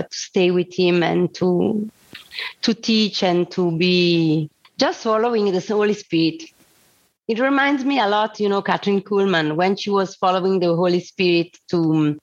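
A woman in her forties from the Italian side of Switzerland, speaking English with an Italian accent, telling her testimony haltingly as she searches for words. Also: medium pitch, dull audio. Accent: Swiss Italian. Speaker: missionary guest. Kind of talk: personal testimony interview.

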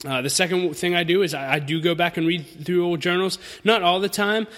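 A man's voice: sits high, steady tone, brisk, a bit bright, long reverb tail. A thirty-year-old man hosting a solo podcast, speaking informally and earnestly to listeners in an encouraging, conversational lesson style. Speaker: podcast host.

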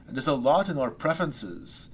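Man, mid-pitched, thoughtful, hesitant restarts, soft trailing endings, faint background hiss. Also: expressive, normal speed. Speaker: poet guest. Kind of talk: reflective interview chat. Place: Saskatoon resident.

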